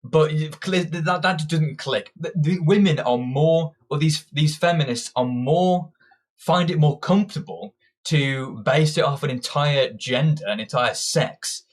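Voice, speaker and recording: A man's voice: fairly high pitch, measured pace. Combative young man ranting. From Britain. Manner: combative, ranting, frequent restarts. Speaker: political commentator. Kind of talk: opinionated monologue.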